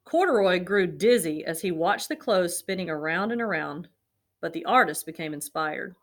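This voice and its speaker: A woman narrating a children's picture book aloud in an expressive storytelling manner. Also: fairly low pitch, moderate pace. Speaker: storybook reader.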